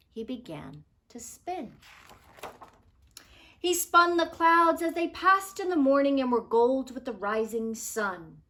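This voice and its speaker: A woman reading a children's story aloud in an expressive, dramatic way, with deliberate phrasing and stressed words. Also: on the high side. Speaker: storybook reader.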